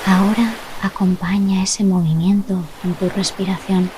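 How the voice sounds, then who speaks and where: A woman narrating a guided relaxation meditation, speaking gently and soothingly with long pauses between short phrases. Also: mid-range voice, level tone, noisy background. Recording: loud ocean waves behind the voice. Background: sound of sea waves.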